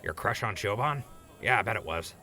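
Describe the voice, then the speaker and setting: A man's voice: fairly low pitch, expressive, fairly quick, pushed and bright, a little hiss or hum, exaggerated voice. A man playing an easygoing, teasing mentor and buddy in an audio drama, casual and joking. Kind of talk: post-apocalyptic audio drama acting.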